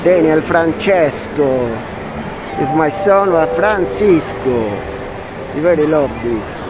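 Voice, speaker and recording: An Italian-accented man leads a casual camera tour through a huge indoor mall, speaking slow, halting English studded with Italian exclamations. His pitch swings widely as he marvels at the sights. Loud music plays under the whole recording. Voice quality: excitable, mixing Italian exclamations into broken English. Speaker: family vlogger. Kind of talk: casual walking tour commentary. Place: large indoor shopping mall. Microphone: handheld action camera. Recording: loud background music over the voice.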